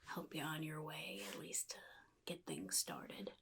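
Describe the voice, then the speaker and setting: A woman voicing a chaotic, jittery male clown supervillain in soft-spoken ASMR roleplay, half-whispering and rambling in halting, sly phrases. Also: low voice, very animated, heavily acted. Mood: rambling, teasing. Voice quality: breathy, gravelly, half-whispered. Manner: halting, rambling, sly, addresses listener as kid.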